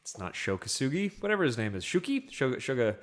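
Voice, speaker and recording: A man on a podcast panel, discussing films casually in a halting, rambling way. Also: medium pitch, big pitch swings, slight background noise.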